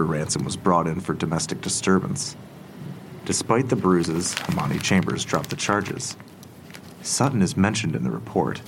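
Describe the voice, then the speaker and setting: A low-voiced police investigator in a crime audio drama. He speaks in a businesslike, measured and probing way, with faint noise behind him.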